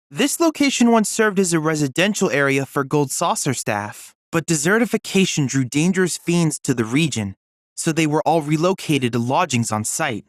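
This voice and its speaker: Lively, studious boyish android assistant. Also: male voice, fairly high pitch, no echo, clean studio sound. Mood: formal, guarded. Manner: formal, precise, inquisitive. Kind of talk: scripted video game character dialogue.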